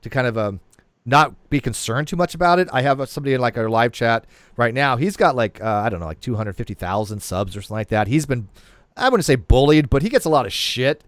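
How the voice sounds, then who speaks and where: A man's voice: mid-range voice, expressive, quick pace, no echo. A man in his late forties hosts a loose livestream conversation. His voice rises and falls a lot, and he rambles and restarts his sentences. The sound is dry and close, with no room around it. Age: 40s. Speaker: host. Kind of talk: casual livestream chat.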